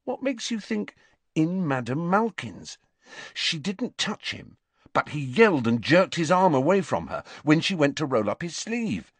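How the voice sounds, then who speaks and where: A man's voice: fairly high pitch, very animated, measured pace, slightly rough, dry recording, pitched above the performer's own voice, heavily acted. A man voicing an earnest, stubborn teenage boy wizard in an audiobook, insistent and emphatic. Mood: stubborn, convinced.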